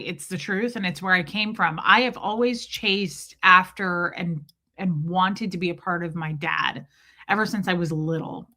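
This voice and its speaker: Bright-voiced Midwestern American woman, candid and chatty. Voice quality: voice deeper than usual from lack of sleep. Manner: candid, chatty, breaks off to greet viewers. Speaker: livestream host. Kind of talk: casual livestream chat with viewers.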